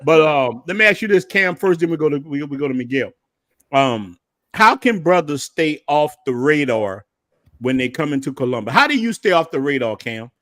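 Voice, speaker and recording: A man from the United States hosting a relaxed chat, asking his guests questions and drifting through fillers as he talks. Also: a little high, moderate pace, dry recording, studio quality. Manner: laid-back, asks guests questions, trails off with fillers. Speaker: show host. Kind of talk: casual livestream chat.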